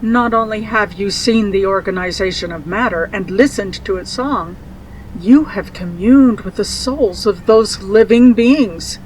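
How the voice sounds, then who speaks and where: A woman's voice: medium pitch, a little hiss or hum, natural voice, rough recording. Woman as solemn, instructing mage mother. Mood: awed. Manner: measured, solemn. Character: earnest instructing mage mother, gently admonishing. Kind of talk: fantasy audio drama acting.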